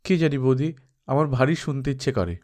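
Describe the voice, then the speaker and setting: A man's voice: mid-range voice, lively intonation, quick pace, darkish tone, close to an everyday voice. Man reading as a naive, bewildered young man. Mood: hesitant, curious. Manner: earnest, questioning. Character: naive, bewildered young brother-in-law, earnest and curious. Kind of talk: dramatised audiobook reading.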